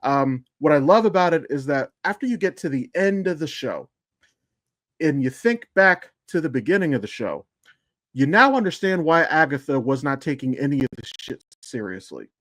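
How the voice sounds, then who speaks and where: A man's voice: fairly high pitch, big pitch swings, normal speed, fairly bright tone, no room sound. A man co-hosting a podcast with casual, emphatic commentary on shows and films, explaining at length and swearing freely. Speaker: podcast co-host.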